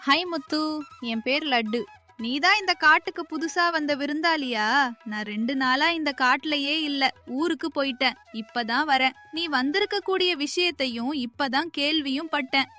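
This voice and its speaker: Lady doing a chatty lil cartoon bunny, high n bright, super friendly and eager. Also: moderate pace, faint music behind. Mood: cheerful, chatty. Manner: talkative, eager. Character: chatty, friendly little rabbit. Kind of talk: children's animated story dialogue.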